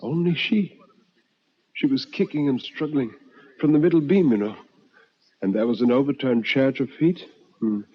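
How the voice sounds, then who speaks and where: A man voicing a shaken but compassionate male companion in a radio ghost story, speaking in a hushed, halting, earnest way. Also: mid-range voice, breathy edge, dull audio, natural voice. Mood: shaken, sorrowful.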